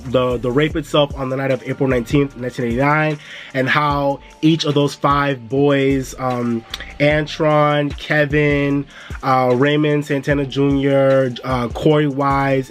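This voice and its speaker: A laid-back man talks straight to his viewers in a casual review vlog, easygoing and chatty. His voice sits at an ordinary middle pitch and comes through a little stuffy from a cold. Faint music plays quietly behind him. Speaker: music and entertainment YouTuber.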